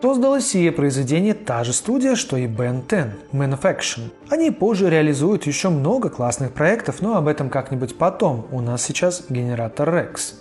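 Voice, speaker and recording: A man's voice: a little high, very animated, normal speed, hardly any pauses, a little mellow. A man hosting a video with scripted narration and informal, slangy asides. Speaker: video host. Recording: faint music under voice-over.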